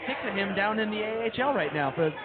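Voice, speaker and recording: A man's voice: high voice, lively intonation, quick pace, over loud music. A man co-hosting a live radio show, chatting casually about sports. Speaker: radio co-host. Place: brewery venue, live broadcast. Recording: thin phone-like sound with crowd chatter.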